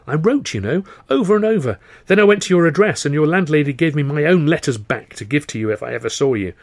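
A man's voice: mid-range voice, very animated, quick pace, breathy edge, no room sound, studio quality. A man playing an earnest, awkward, lovesick young man in audiobook dialogue, pleading as he talks.

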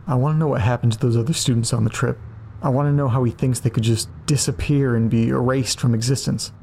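A man's voice: medium pitch, fairly even intonation, quick pace, creaky, a little mellow, close to an everyday voice. A man playing an earnest, anxious amateur podcaster in naturalistic, hesitant audio drama dialogue. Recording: faint car noise. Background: car interior ambience.

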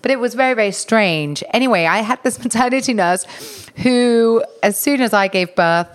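A woman's voice: fairly high pitch, lively intonation, moderate pace. A woman from Denmark, a host, frankly and animatedly telling a story in casual chat.

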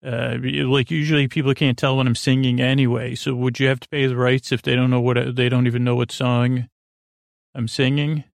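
A man hosting a sleep podcast, rambling gently in a soothing, creaky drawl full of fillers and self-corrections. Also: flat delivery, a little fast, no echo, studio quality. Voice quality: creaky, low-key drawl.

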